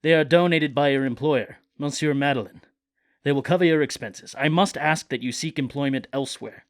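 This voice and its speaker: An actor playing a formal factory manager who dismisses a worker in a curt, cold, formal way. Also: male voice, mid-range voice, a little fast, no echo, studio quality, close to an everyday voice. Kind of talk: amateur radio play acting.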